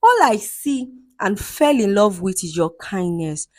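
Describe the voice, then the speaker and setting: A woman's voice: medium pitch, very animated, measured pace, a little mellow, dry recording, clean studio sound. A woman voicing a kind, loving young prince in a folktale, sincere and courtly. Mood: tender.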